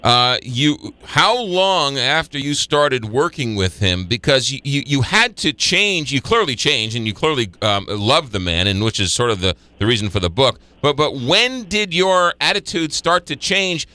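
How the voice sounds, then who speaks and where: A man's voice: mid-range voice, lively intonation, unhurried, hardly any pauses, pushed and bright, no room sound. A man hosting a radio interview in a studio, stumbling and restarting his questions. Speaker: radio host.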